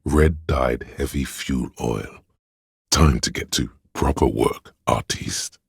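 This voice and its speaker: A man voicing a pretentious, intellectual inner voice of artistic imagination in a video game, narrating in a theatrical, ponderous way with a gravelly, husky tone. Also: a bass voice, slow pace, no echo, studio quality, exaggerated voice. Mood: musing, grandiose. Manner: theatrical, ponderous, pompous art-critic phrasing. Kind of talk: scripted second-person game narration.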